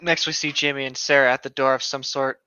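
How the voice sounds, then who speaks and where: A man's voice: mid-range voice, little pitch movement, fast, sharp tone, clean tone, no room sound, lo-fi sound. A man co-hosting, reading a story aloud with casual, sweary commentary and stopping to correct himself. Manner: sweary, self-correcting reader. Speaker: co-host.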